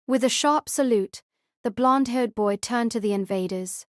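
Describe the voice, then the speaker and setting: A woman's voice: a little high, moderate pace, no room sound, studio quality. A woman reading scripted audiobook narration of a story as its narrator.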